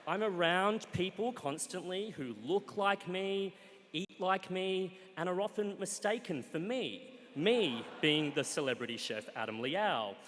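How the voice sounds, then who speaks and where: A man with an Australian accent telling a comic personal story on stage, wry and playful, with deadpan punchlines. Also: high voice, unhurried, background noise. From Australia, Sunshine Coast, Queensland. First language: Cantonese. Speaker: guest storyteller. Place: stage in a live event hall with an audience. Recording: reverberant live hall.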